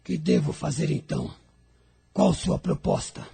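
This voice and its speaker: Very gravelly, raspy dragon lord. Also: male voice, on the high side, huge pitch swings, unhurried, dark tone, heavily acted. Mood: defiant, sardonic. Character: Dragon, proud resentful dark spirit lord of rebels. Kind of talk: audio drama acting.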